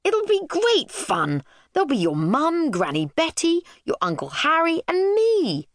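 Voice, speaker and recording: A woman's voice: sits high, wildly swooping pitch, a little slow, dry recording, clean studio sound, pitched above the performer's own voice, exaggerated voice. A woman voicing an eagerly enthusiastic dad in a children's story, coaxing and bubbly.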